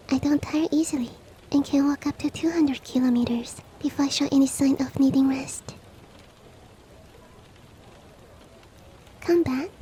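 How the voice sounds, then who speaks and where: Woman voicing a shapeshifter maid girl, high-pitched, soft and mellow, breathy whispers, courteous, earnest, devoted, ASMR roleplay, light rain noise. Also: close to an everyday voice. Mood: polite, eager. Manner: formal, courteous, gently earnest. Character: polite, devoted shapeshifting monster-girl maid seeking a master. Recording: faint ambient rain noise behind. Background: soft rain ambience.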